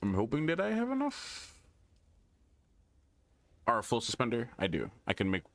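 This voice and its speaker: A man's voice: medium pitch, wildly swooping pitch, moderate pace, fairly bright tone, no echo. A young man with a Guyanese American accent, chatting casually and thinking aloud while he plays a game. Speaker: gaming streamer. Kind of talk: casual gameplay commentary.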